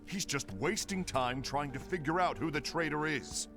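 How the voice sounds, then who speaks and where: Dude voicing a fired-up game leader pushing an alliance, lively pitch, music behind. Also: male voice, normal speed, close to an everyday voice. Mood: urgent. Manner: persuasive, indignant. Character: earnest, forceful young male leader. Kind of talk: video game cutscene acting. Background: game score music.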